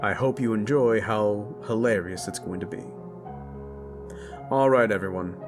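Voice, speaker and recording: A man's voice: lowish voice, a little slow. A man speaking informally and enthusiastically to his listeners as the creator and host of an audio roleplay channel. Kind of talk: casual chat to listeners, channel outro. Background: music.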